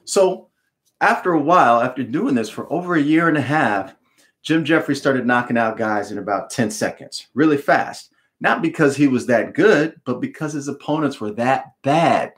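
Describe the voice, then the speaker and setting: A middle-aged American man giving an expressive storybook read-aloud with explanatory asides, speaking emphatically with dramatic pauses. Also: mid-range voice. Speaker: author reading his book aloud. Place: home room with bookshelves, indoors.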